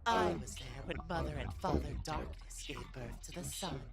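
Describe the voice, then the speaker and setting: A woman voicing a sadistic, gloating female monster of darkness in a horror game, with theatrical, drawn-out taunts and whispers. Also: rumbling low, huge pitch swings, gravelly, full character voice. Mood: sinister, gloating. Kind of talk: horror visual novel voice acting.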